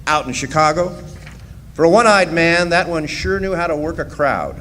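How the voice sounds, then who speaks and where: A man playing a plainspoken, wry miner who tells his story in a live radio drama, with a folksy and deliberate delivery. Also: a little high, lively intonation, pushed and bright. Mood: hesitant, amiable. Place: live performance in a resort hall. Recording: echoey hall stage, faint noise.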